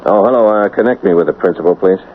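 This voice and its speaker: An actor playing a blunt, probing police lieutenant questioning people in a radio drama. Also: male voice, low-pitched, fast talker, close to an everyday voice. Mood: businesslike. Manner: blunt, interrogating. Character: brusque, probing male police lieutenant on a case. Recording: dull, lightly hissy old radio recording.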